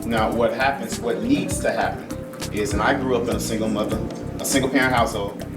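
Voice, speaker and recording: A man's voice: lowish voice, fairly even intonation, a little fast. A man speaking earnestly and emphatically in a panel discussion, repeating phrases as he gives personal testimony. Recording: echoey, distant mic, loud music.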